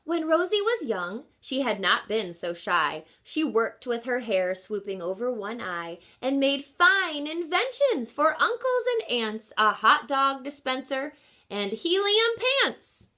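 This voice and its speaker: A woman reads a storybook to children like an encouraging teacher. Her voice is fairly high and swings widely in pitch. She takes her time, leaning deliberately on key words and speaking directly to her young listeners. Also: big pitch swings, pushed and bright. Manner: encouraging, addresses children with questions and explanations. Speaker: reading teacher reading a picture book aloud. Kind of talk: expressive children's read-aloud with teaching asides.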